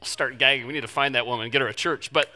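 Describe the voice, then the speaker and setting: A man's voice: medium pitch, steady tone, very fast, pushed and bright. A man preaching a casual, conversational sermon to a congregation, chatty and joking with self-deprecating asides. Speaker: pastor. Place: church in front of a live congregation.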